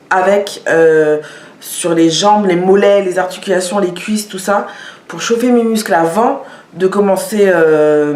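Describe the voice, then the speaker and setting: A woman showing products in a casual vlog, talking with many hesitations and fillers. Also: lowish voice. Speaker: vlogger. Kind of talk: casual product show-and-tell. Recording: echoey room.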